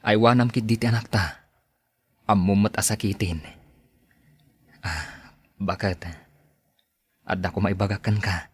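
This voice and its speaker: A man playing an earnest, husky, low-voiced husband. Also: steady tone, a little slow, long pauses, no echo. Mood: earnest, resolved. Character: hard-pressed working husband and father, earnest, dutiful. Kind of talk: podcast drama dialogue.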